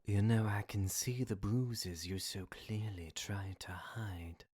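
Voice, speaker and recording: Man acting a slow, husky, tempting demon. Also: on the low side, steady tone, a little mellow, no echo. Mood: coaxing. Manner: slow, insinuating, formal. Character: suave tempting male demon, courteous and scheming. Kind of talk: podcast audio drama acting. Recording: dry amateur home recording.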